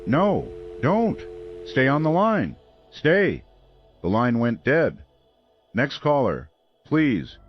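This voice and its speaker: Shaken, grave radio host. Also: male voice, mid-range voice, huge pitch swings, takes it slowly, long pauses, dull audio, faint music behind. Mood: alarmed pleading. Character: shaken, helpless male radio host broadcasting during a catastrophe. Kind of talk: radio drama acting, live call-in broadcast.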